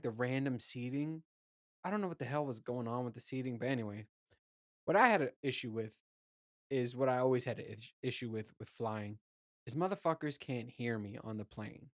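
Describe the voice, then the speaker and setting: A man with a naturally low voice chats casually as a podcast host, rambling through stories with plenty of filler words and restarts. His delivery is relaxed and profane. The sound is dry and close, with almost no room echo. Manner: loose, profane storytelling with lots of fillers and restarts. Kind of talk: casual solo chat.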